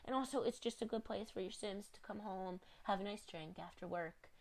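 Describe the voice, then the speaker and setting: A woman's voice: mid-range voice, fairly quick. A woman with a slightly congested voice, a little sick, narrating a home-building game video in a rambling, apologetic, chatty way with lots of fillers.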